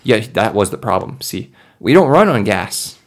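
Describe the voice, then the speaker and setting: A man's voice: fairly low pitch, very animated, moderate pace, creaky. A man playing a glib, scheming starship captain in an improvised tabletop game, breezily explaining things to his crew. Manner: breezy, patiently explaining, evasive. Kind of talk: improvised roleplay in a tabletop podcast.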